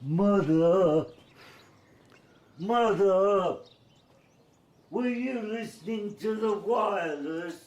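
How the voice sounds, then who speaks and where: A very slow, fairly high-voiced middle-aged uncle in a radio play. He speaks haltingly, repeats himself and has a childlike directness. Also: darkish tone. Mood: insistent.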